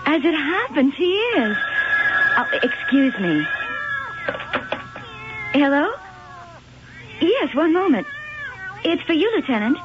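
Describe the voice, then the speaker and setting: A high, lively voice belongs to this young chorus girl. She is anxious and pleading, in an old radio play with audible noise and dulled sound. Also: slow, pauses often, exaggerated voice. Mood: uneasy, polite. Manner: agitated, pleading. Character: anxious young chorus-line dancer, age twenty-two. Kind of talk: 1980 radio drama acting. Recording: dull noisy old radio broadcast.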